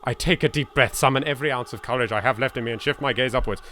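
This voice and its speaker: A man's voice: mid-range voice, rapid-fire, a bit bright, slight background noise. A man with an English accent hosting a let's play, reading aloud dramatically and commenting in a theatrical, exasperated, sweary way. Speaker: gaming video host.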